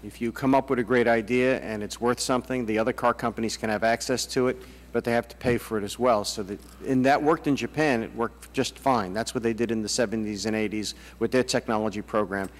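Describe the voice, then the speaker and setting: Direct plain-spoken witness in a hearing room. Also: male voice, medium pitch, steady tone, a little fast, long reverb tail. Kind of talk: testimony. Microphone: desk microphone.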